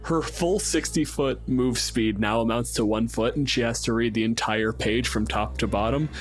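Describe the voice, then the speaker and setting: A man's voice: medium pitch, normal speed. A man speaking casually as game master, narrating a tabletop role-playing scene and making rulings, sometimes swearing. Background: music under the voice.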